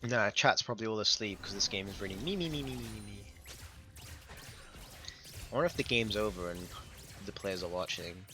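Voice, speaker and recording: A young man, casting an esports match with joking banter and excited play calls. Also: fairly low pitch, brisk, long pauses, over music. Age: young adult. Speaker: esports caster. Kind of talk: casual esports commentary.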